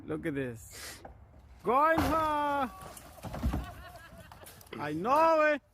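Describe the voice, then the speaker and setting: A man's voice: very high voice, sing-song, very slow. A man making short exclamations in a video clip, with faint noise and clicks on the recording.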